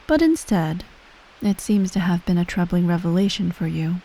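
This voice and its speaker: A woman playing a menacing, coolly doting empress mother in soft, breathy ASMR roleplay, measured and formal, often near a whisper. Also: on the low side, sing-song, poor audio. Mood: coolly reassuring. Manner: measured, formal, veiled threats, breathy sighs.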